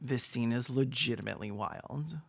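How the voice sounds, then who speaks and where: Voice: mid-range voice, moderate pace, creaky. A video essayist giving sarcastic scripted film commentary, campy and full of joking asides.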